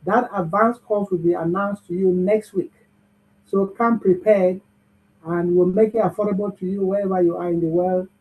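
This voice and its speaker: A man's voice: sits high, steady tone, normal speed. A man hosting an online bootcamp, upbeat and cheering the audience on over a video call. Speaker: bootcamp host. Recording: muffled video-call audio.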